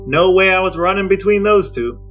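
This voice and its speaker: A man co-hosting a podcast, sharing facts in a dry, deadpan way during banter. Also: sits high, fairly quick, faint music behind. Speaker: podcast co-host.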